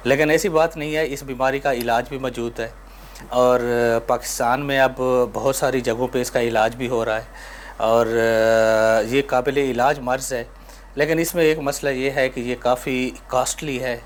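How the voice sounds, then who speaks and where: A Pakistani man speaks as a surgeon being interviewed, in a mid-range voice with light ambient noise behind him. He explains in measured, pausing phrases and drops in English medical terms. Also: medium pitch. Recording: noticeable background ambient noise.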